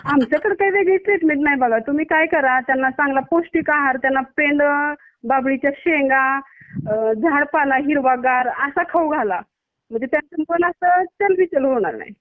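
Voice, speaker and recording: Female doctor, Maharashtrian accent, high voice, blunt and scolding, heard over a thin phone line. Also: normal speed, dry recording. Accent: Maharashtrian Marathi. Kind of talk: casual phone conversation. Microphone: phone call. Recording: tinny narrowband phone line.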